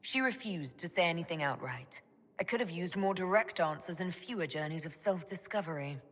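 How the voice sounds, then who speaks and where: An actress playing a sharp, commanding elf mage in a video game, speaking in a firm, matter-of-fact way. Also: female voice, on the low side, animated, fairly quick. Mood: dry, firm.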